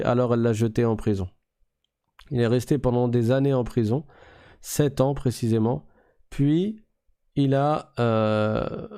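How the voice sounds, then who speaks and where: Smooth, mellow-voiced male religious teacher. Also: mid-range voice. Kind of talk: religious storytelling lesson with Arabic invocations.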